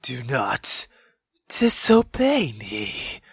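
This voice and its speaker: A woman's voice: extremely low pitch, wildly swooping pitch, very slow, slightly rough, no room sound, clean studio sound, heavily acted. A woman voicing a furious, cruel, bitter mother in a visual novel, scolding and menacing as she rants. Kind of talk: dramatic visual novel reading.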